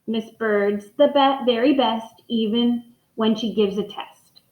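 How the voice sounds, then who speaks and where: A woman reading the part of an earnest, sentimental first-grade boy in a picture book, with deliberate delivery. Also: fairly high pitch. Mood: earnest, reciting. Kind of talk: storybook character reading. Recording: echoey room, dull muffled microphone.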